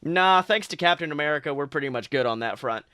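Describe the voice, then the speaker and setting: A relaxed adult superhero speaks in a bright, friendly tone, as a man reads him. He sounds at ease and unhurried. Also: a little high, smooth, no room sound, studio quality. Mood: easygoing. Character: adult male superhero, easygoing.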